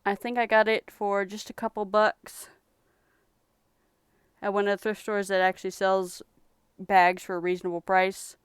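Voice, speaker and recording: A young woman from Texas talks in a relaxed, rambling way while showing off her thrift finds. Her voice is strikingly clear and smooth. It is recorded close up, with a dry sound. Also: mid-range voice, normal speed, long pauses, no room sound. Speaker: streamer reselling thrift finds. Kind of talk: casual live-stream chat.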